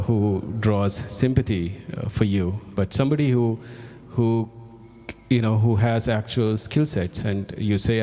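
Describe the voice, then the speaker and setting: A man's voice: fairly low pitch, warm tone. A man whose first language is Hindi, a journalist on a festival panel earnestly urging young reporters. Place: festival hall. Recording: long hall reverb.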